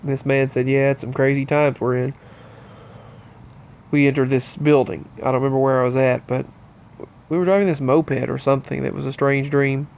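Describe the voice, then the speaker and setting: A man's voice: medium pitch, fairly even intonation, moderate pace, gravelly, a little mellow. A man hosting his channel, with a stuffy nose from allergies, running loosely and earnestly through a list of strange news in a casual monologue. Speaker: channel host.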